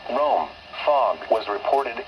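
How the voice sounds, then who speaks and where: A synthetic male announcer reads out a weather broadcast, every phrase spaced evenly, with sudden pitch jumps on the numbers. He comes through a muffled radio receiver, and steady static hisses behind him. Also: slow pace. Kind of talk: automated weather broadcast reading.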